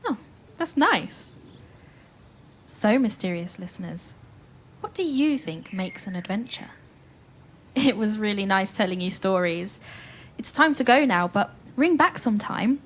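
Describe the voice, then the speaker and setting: A woman playing an expressive storyteller in an audio play, addressing the listeners as she narrates. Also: mid-range voice, unhurried, long pauses, slight background noise, lightly acted. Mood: inviting, reflective. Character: storyteller woman, calm yet dramatic, playing a brave spy.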